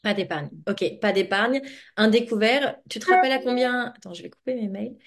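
A woman hosting a podcast interview in a casual, chatty and expressive way, speaking informally as a coach. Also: fairly high pitch, brisk, vocal fry. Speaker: podcast host and money coach.

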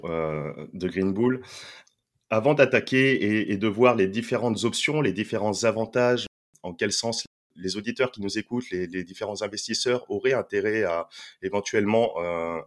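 A man hosting a finance podcast, talking casually and hesitating often with filler sounds. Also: on the low side. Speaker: podcast host.

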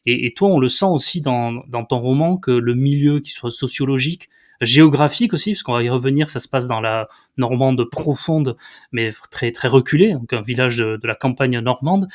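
A man's voice: mid-range voice, moderate pace. Informal male book-show host. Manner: informal, builds long questions with hesitations. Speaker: literary interviewer, host. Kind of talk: casual literary interview.

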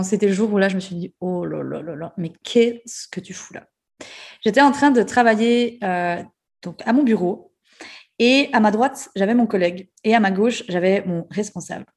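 A woman's voice: mid-range voice, darkish tone. A young woman with a Jura Swiss French accent, hosting a podcast and candidly sharing her story in a conversational way, with frequent fillers and restarts. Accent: Swiss French, Jura (Ajoie). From Switzerland, Jura. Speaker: podcast host, nutrition therapist.

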